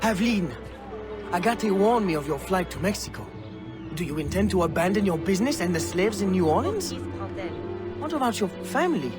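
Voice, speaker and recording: A man's voice: high-pitched, lively intonation, a little fast. An actor playing an earnest, devoted young male assistant in a video game, anxious and slightly awkward in his manner. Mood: hurt, worried. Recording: music under the voice.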